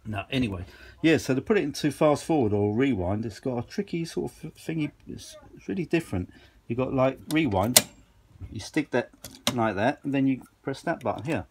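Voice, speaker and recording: A man's voice: mid-range voice, animated, a little fast, a little hiss or hum. A man chatting casually and off the cuff, rambling along with informal enthusiasm as he shows his gear. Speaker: vintage audio enthusiast presenting his gear. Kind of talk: casual off-the-cuff chat, handheld demo. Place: carpeted room at home.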